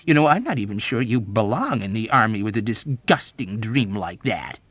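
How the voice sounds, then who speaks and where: A man's voice: mid-range voice, animated, slow, dark tone, dry recording. A man voicing a belligerent, easily offended army major in an audiobook, indignant and accusatory. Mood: wounded indignation. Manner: indignant, accusatory, badgering, emphatic. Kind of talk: audiobook character acting.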